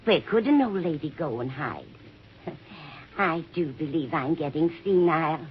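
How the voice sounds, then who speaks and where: A woman's voice: low-pitched, expressive, measured pace. Coy, scheming old lady, muffled recording. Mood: feigned innocence. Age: older. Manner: coy, fluttery, feigned innocence. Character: scheming old lady crook playing sweet and dotty. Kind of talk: 1950s radio drama acting. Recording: muffled vintage radio recording with hiss.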